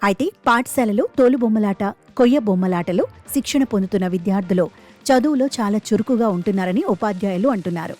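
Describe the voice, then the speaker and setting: A woman's voice: medium pitch, a little mellow. A woman reading a scripted news feature narration as a voice-over.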